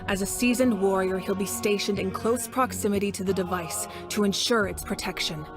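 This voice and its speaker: A woman playing a calm, commanding leader in a video game, speaking in a measured, authoritative way over music. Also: mid-range voice, lightly acted. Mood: composed, resolute. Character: calm, commanding female leader planning a battle. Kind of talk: scripted video game voice acting.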